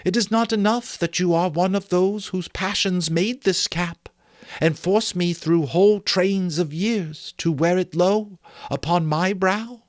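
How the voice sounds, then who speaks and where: A man voicing a solemn, gentle Christmas spirit in a soft, airy, drawn-out voice for a storybook. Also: high voice, dry recording, studio quality, voice pushed up. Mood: reproachful. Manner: slow, drawn-out, otherworldly delivery.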